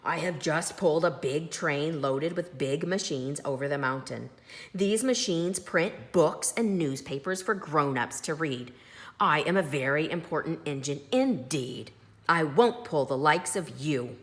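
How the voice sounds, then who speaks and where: Woman as a low, pompous big freight engine. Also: expressive, a little slow. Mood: haughty, indignant. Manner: pompous, emphatic, bellowing. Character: big important male freight engine, haughty and gruff.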